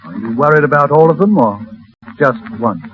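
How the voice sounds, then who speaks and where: An actor playing a courteous, lovelorn rich gentleman, polite and formal, in a 1930s radio drama. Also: a little high, lively intonation, brisk, a little hiss or hum, close to an everyday voice. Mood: grave, gentle. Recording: muffled 1930s radio recording, music behind.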